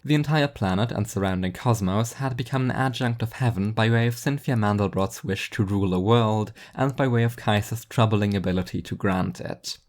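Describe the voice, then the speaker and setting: A man's voice: fairly low pitch, fairly quick, few pauses, darkish tone. A man reading scripted fiction as an audiobook narrator.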